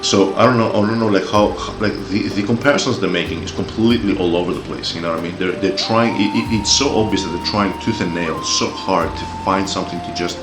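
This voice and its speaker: A man's voice: low-pitched, roomy sound. A man in his forties with a Greek-Australian accent, ranting heatedly with swearing and stumbling repeats as an opinion commentator. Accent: Greek-Australian English. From Greece, raised in Australia. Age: 40s. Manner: heated, profane, repeats words when riled. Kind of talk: opinion rant.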